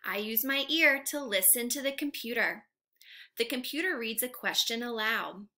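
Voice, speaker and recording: A young woman reading aloud to small children like a teacher, asking simple questions in an animated, carefully enunciated way. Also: on the high side, moderate pace. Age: young adult. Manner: animated, exaggerated, enunciated for little learners. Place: living room at home, indoors.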